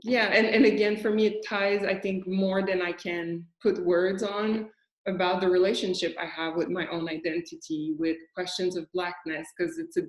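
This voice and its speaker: A woman with a somewhat low voice speaks as a curator in a relaxed discussion. She thinks aloud and stops to find her words. The sound bounces around a reverberant room. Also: normal speed. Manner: reflective, searching for words. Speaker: curator and guest critic. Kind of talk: casual panel conversation. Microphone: video call. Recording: echoey room.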